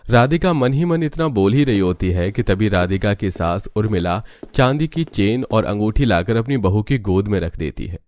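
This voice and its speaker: Guy with a lowish voice reading cartoon story narration, dry sound. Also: expressive, moderate pace, few pauses, a little mellow, no room sound.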